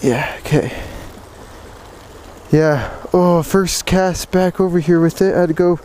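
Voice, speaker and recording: The guy on a streamside action-camera fishing video, talking excitedly in a soft-edged, slightly high voice over faint water noise. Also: a little slow. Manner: exclamatory, repeats words in bursts. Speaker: fishing vlogger. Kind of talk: excited casual commentary while fishing. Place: outdoors beside a stream. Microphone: body-worn action camera. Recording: outdoor water noise behind voice.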